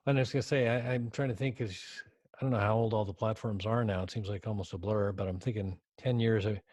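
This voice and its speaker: A man's voice: lowish voice, steady tone, fast, a little mellow, no echo. A man hosting a podcast, introducing his guest and asking questions in a friendly, chatty way with many hesitant fillers. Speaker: podcast host. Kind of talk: podcast interview.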